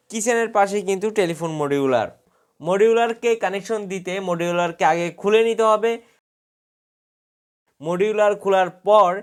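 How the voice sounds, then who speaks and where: A man's voice: high voice, clean tone. A man narrating a scripted how-to tutorial, explaining step by step. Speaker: tutorial host.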